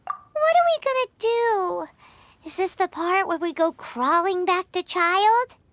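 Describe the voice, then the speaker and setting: A woman voicing a tiny, sassy childlike companion in a video game, blunt, pert and quick to be indignant. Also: very high voice, animated, a bit bright, slight background noise, heavily acted. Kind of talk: video game dialogue acting. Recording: music playing behind. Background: background music.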